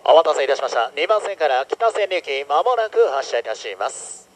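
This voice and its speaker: High-voiced male departure announcer. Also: very animated, brisk. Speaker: station announcer. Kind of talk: recorded train departure announcement.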